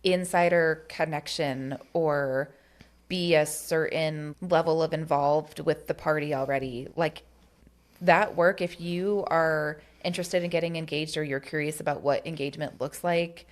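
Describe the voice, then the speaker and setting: A woman's voice: low voice, fairly even intonation, a little slow. A woman co-hosting a podcast, talking candidly and earnestly about getting involved in politics, with casual swearing. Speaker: podcast co-host.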